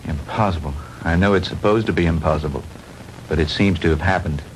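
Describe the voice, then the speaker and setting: Deep-voiced, level-headed man played by an actor. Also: fairly quick, slight background noise, natural voice, low-quality recording. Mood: grave, resolute. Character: level-headed, reassuring man taking charge of crisis. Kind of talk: 1960s low-budget film acting. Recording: old film soundtrack with musical score.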